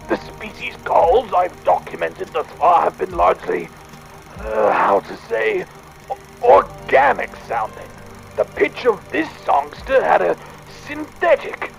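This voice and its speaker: A man playing a fussy, excitable Victorian gentleman inventor, speaking a pompous, wordy audio diary in a theatrical drama. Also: high voice, creaky, exaggerated voice, rough recording. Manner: pompous, wordy, hesitant musing.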